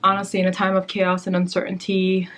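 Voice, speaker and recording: A woman's voice: medium pitch, monotone, brisk, fairly bright tone. Young Somali woman talking to camera. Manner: earnest, addresses viewers as "you guys" often. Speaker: beauty and lifestyle vlogger. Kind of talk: casual talk to camera.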